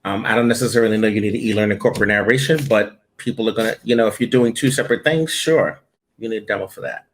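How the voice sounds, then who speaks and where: Give me a man with a fairly low voice as a voiceover director being interviewed. He talks in a loose, conversational way and often pauses with ums and restarts mid-sentence. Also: slight background noise. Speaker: guest, voiceover audition director. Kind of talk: casual interview answers.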